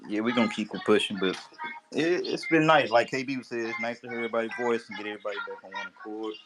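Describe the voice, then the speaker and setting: A man's voice: on the low side, fast talker, noisy background. A man hosting a casual live audio chat, laid-back and joking, laughing often. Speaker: host.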